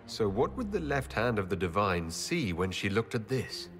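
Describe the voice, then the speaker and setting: A man's voice: fairly low pitch, sing-song, normal speed. An actor playing a dry-witted male leader in a game cutscene with music behind. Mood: wry. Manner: dry, wry. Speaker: player character.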